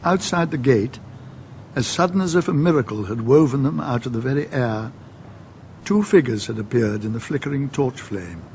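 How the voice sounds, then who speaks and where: An actor playing a solemn storyteller, giving literary narration. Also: male voice, medium pitch, lively intonation, normal speed, gravelly, darkish tone, slight background noise. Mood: hushed wonder. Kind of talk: literary scripted narration in radio drama.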